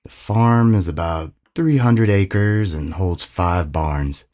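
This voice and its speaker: A man as a low-voiced, reflective young farmhand narrator. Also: slow pace, a little mellow. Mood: wry, reflective. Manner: reflective, plainspoken. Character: earnest, reflective seventeen-year-old farmhand narrating his summer. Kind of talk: scripted first-person narration.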